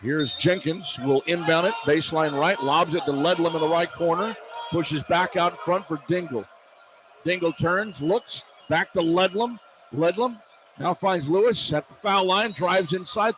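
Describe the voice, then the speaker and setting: A man's voice: fairly high pitch, moderate pace, a bit bright. A man calling live basketball play-by-play on the radio in clipped, running descriptions of the action. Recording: noisy live arena broadcast. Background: arena crowd ambience.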